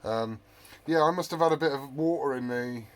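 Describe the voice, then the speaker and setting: A man's voice: medium pitch, normal speed. A man chatting casually as a hobby radio operator, rambling and often correcting himself mid-thought.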